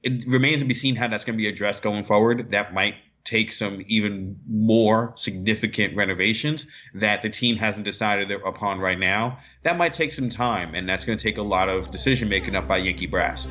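A man's voice: lowish voice, normal speed, hardly any pauses, bright, forceful tone. A man speaking as a guest sportswriter, explaining things conversationally with frequent asides, over a lo-fi connection.

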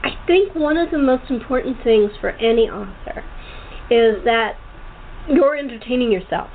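A woman hosting a casual interview, speaking haltingly with repeated and restarted phrases. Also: on the high side, lively intonation. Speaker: talk show host. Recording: tinny phone line with clear background noise.

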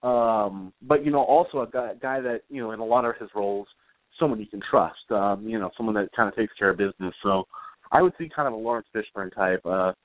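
Man talking haltingly over a phone-like line. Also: on the low side. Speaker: guest, comic book author. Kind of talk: casual interview answers. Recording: roomy, phone-like internet radio call.

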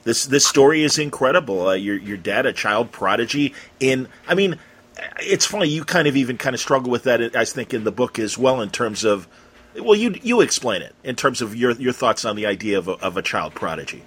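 A man's voice: medium pitch, lively intonation, brisk, a bit bright, slightly rough. An American man from Illinois hosting an interview podcast in a chatty, informal, conversational way. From Illinois, USA. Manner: chatty, informal, says "man" and "you know". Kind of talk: casual conversational hosting with ad reads.

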